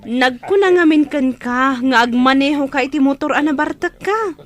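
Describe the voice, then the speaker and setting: High-pitched scolding younger sister played by an actress. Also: female voice, moderate pace, lightly acted. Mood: scolding, exasperated. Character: scolding younger sister of an injured man, caring but exasperated. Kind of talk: radio drama acting in Ilocano.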